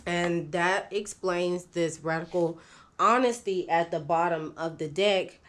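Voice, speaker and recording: A woman, congested and nasal from a cold, giving an unscripted tarot reading in a slow, halting way with many fillers. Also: on the low side. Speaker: tarot reader.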